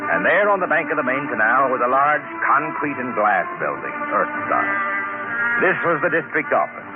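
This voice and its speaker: An actor playing an affable junior administrator, easygoing and conversational, in a 1950s radio drama. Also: male voice, medium pitch, sing-song, normal speed, natural voice. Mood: reminiscing. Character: affable young male junior administrator, recalling his past. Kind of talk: 1950s radio drama acting and first-person narration. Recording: muffled old radio recording with music.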